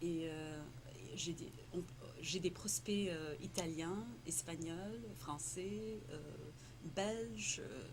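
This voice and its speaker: A woman's voice: fairly low pitch, slow. A woman whose first language is Tamil, who grew up in Morocco, answering interview questions in French with many fillers, restarts and frequent laughs. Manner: hesitant, many fillers and repeated words, laughs often. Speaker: interviewee, entrepreneur. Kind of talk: casual conversational interview. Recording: noisy background.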